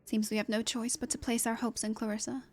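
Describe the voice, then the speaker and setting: A woman voicing a courteous, steady ally in a video game, with composed and polite wording. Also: a little high, quick pace, lightly acted. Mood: worried. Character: courteous, steady gym-owning ally of the hero.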